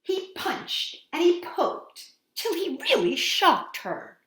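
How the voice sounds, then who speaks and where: Woman, children's storyteller, unhurried reading, expressive sing-song delivery, echoey room. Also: extremely high pitch, noisy background. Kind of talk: reading a picture book aloud to children. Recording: roomy echo.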